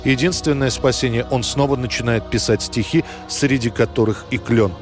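A man narrating a scripted history programme in a measured, storytelling way. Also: mid-range voice, fairly even intonation, over music.

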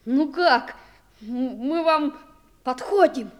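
An actress playing an excitable, curious, cheeky little boy in a 1960s Soviet children's radio play, blurting and wide-eyed. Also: high-pitched, takes it slowly. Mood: wondering, cheeky.

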